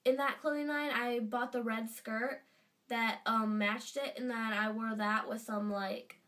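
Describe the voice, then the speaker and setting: A woman's voice: on the high side, steady tone, a little slow, fairly bright tone, far from the mic. A teenage girl with a stuffy nose from a cold, gushing in a casual fan vlog from her bedroom. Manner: chatty and gushing, lots of fillers. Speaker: vlogger. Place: bedroom indoors. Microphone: webcam at desk distance.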